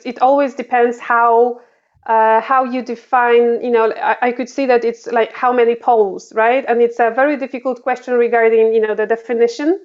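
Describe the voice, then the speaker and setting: A woman's voice: fairly high pitch, clean tone, lo-fi sound. A woman diplomat with a Polish accent answering interview questions, with many fillers and restarts. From Poland. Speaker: diplomat guest.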